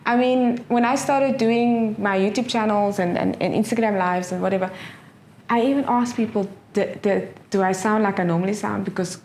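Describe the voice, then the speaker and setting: A middle-aged South African woman, a professor speaking as an interview guest, earnest and quick to laugh, often restarting her phrases. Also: mid-range voice, steady tone, a little mellow, a little hiss or hum. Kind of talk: casual interview answers.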